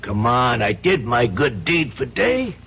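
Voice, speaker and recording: A man's voice: medium pitch, big pitch swings, measured pace, gravelly, fairly bright tone, full character voice. An actor playing a tough, breezy, streetwise crook who is loyal to his pal, with slangy, wisecracking talk in an old radio drama. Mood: evasive, offhand. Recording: muffled, hissy old radio drama recording.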